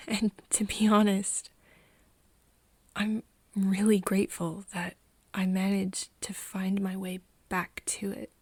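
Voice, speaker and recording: A woman playing a nervous, tender young friend of a vampire in an ASMR roleplay, speaking softly and hesitantly, often slipping into a whisper. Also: medium pitch, level tone, no echo. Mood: wistful, grateful. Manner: hesitant, halting, breathy whispering mixed with soft speech. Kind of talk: ASMR roleplay, intimate one-sided dialogue to listener.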